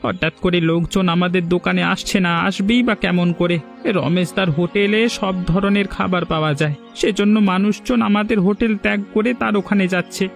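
A man's voice: high voice, fairly quick, vocal fry, faint music behind. A man voicing a humble, struggling village eatery owner and devoted father in a cartoon, plaintive and earnest. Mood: sad, resolute. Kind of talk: Bengali village cartoon dialogue.

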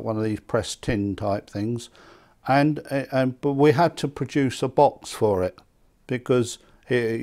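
A man in his seventies with an English accent, reminiscing conversationally in an interview, halting and repeating words. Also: mid-range voice, darkish tone. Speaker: loudspeaker maker being interviewed. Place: small indoor hi-fi demonstration room. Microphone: lapel mic.

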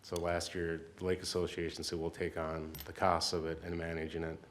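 A man speaking as a city staff member, explaining things at a council meeting in an informal, halting way. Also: low voice, completely flat delivery, slight background noise.

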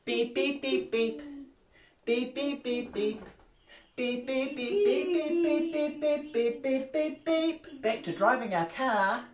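An older woman from New Zealand with a New Zealand accent, leading a children's rhyme and song session in an animated, cheerful way. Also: sits high, takes it slowly. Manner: cheerful, animated, sings and gasps to engage toddlers. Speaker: library rhymetime presenter. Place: small carpeted room indoors. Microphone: far camera. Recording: roomy sound from a far-off camera.

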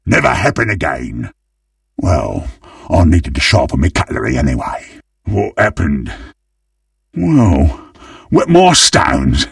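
A man voicing a greedy, gleeful, monstrous undead butcher in a video game, with a gravelly, husky British-accented voice and a menacing, theatrical manner. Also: rumbling low, wildly swooping pitch, takes it slowly, pauses often, dry recording, clean studio sound, far from the mic, full character voice. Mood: gloating relish. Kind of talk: video game character voice lines.

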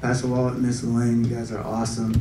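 A man's voice: medium pitch, monotone, quick pace. A man graduating from a church program, a pastor assistant, gratefully thanking people on stage. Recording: echoey hall from far off, loud noise.

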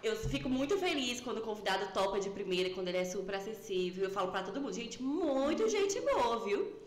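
A woman's voice: a little high, big pitch swings, fairly quick. A young woman hosting a podcast, bubbly and giggly, speaking into a desk microphone in a small studio. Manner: bubbly, warm welcome, giggly. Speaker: podcast host. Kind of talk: casual interview. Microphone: boom desk mic. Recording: roomy studio sound.

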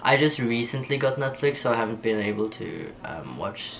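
A young man from Norway with a Norwegian accent, chatting casually to camera in a small home studio, laughing often and pausing with ums. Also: medium pitch, creaky, a little hiss or hum. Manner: relaxed, giggly, lots of ums and restarts. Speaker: vlogger. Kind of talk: casual get-to-know-me chat. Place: small bedroom studio with shelves and posters. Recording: soft guitar music underneath.

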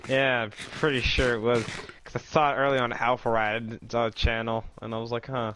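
A man's voice: mid-range voice, little pitch movement, a little fast, noisy background. A young man chatting casually while gaming, joking and rambling as he plays with his voice. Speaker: gamer.

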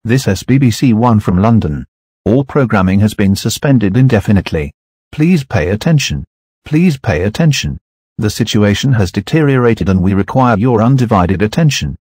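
A synthetic-sounding man reading a scripted emergency broadcast announcement in a flat, formal way. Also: lowish voice, normal speed, husky, no room sound, studio quality.

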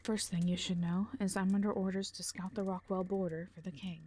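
A woman's voice: medium pitch, level tone, a little fast, a little mellow, slight background noise, close to an everyday voice. A woman playing a brash, defiant mercenary in an audio drama, with a blunt, sardonic manner. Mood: firm, protective. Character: brash, defiant female mercenary, protective and unimpressed.

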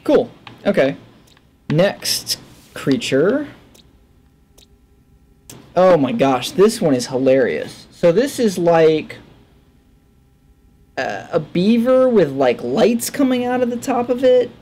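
Male gaming host, bemused and thinking out loud, lively intonation with very unhurried, broken-up phrasing. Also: high-pitched. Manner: halting, musing, bemused. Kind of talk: casual game commentary, thinking aloud. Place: indoor room at a desk. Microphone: headset.

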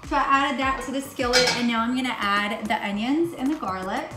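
A woman talks casually through a recipe, explaining each step as she works. Her voice sits a little high and rings in the room of a home kitchen. Music plays clearly behind her. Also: brisk. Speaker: host of a cooking show. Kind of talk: casual instructional cooking demonstration. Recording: echoey kitchen, far from mic, music bed.

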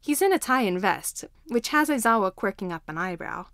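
A woman's voice: medium pitch, expressive, a little fast, a bit bright, no echo, studio quality. A woman reading a scripted story aloud as narrator.

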